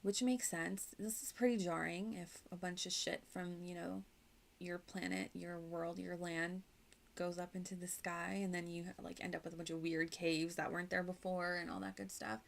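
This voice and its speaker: A woman's voice: on the low side, level tone, normal speed, vocal fry. A woman streaming a game with casual, slangy commentary, rambling as she reacts aloud.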